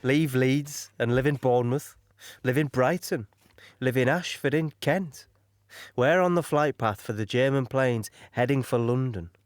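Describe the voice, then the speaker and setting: A man's voice: medium pitch, unhurried, no room sound, lightly acted. Audio play: keen young English soldier male actor, middle register, plain storytelling, very dry sound. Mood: keen, reminiscing. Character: eager, adventurous young English light infantryman. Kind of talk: audio play monologue, narrated memories.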